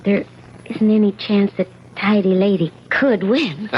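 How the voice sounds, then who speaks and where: An actress playing a scheming, coolly scornful wife in a radio drama. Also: female voice, medium pitch, a little slow, dull audio, natural voice. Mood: feigned ease. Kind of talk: 1970s radio drama acting. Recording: noisy old radio broadcast.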